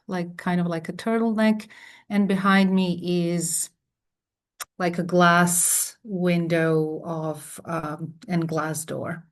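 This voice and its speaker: Slow-talking woman from croatia, kinda low voice, pauses a lot on a video call. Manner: halting, searching for words. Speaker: director. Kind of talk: online panel introduction.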